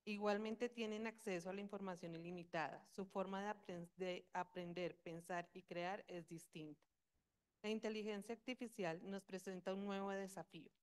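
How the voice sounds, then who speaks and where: A woman presenting at a conference, reading a formal talk in a measured, steady way with rhetorical questions. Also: medium pitch. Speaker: conference speaker.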